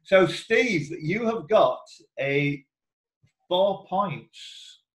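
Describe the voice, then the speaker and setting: A man hosting a quiz on a group video call, reading questions in a deliberate way with informal banter. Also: high voice, lively intonation, a bit bright. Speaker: quiz host and question setter. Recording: echoey room over video call.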